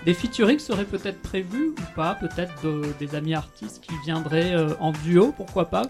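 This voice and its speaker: Brief: hesitant male radio host, higher voice, faint music bed. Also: normal speed. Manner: friendly questions with fillers. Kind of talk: radio interview.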